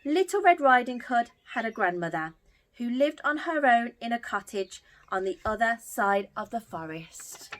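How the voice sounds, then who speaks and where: A woman telling a story to young children as an entertainer, theatrical and expressive. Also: on the high side, normal speed.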